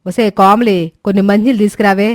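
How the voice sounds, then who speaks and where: A woman's voice: mid-range voice, fairly even intonation, quick pace, no echo, studio quality, lightly acted. Woman playing a grumpy, lazy mother-in-law. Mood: alarmed, scolding. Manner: indignant, bossy grumbling. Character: lazy, grumpy middle-aged mother-in-law, quick to scold.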